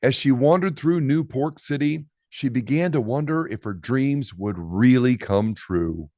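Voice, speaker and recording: A man reading a children's storybook aloud expressively, with dramatic pauses and drawn-out key words. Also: mid-range voice, mellow, no echo, studio quality. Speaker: storybook reader.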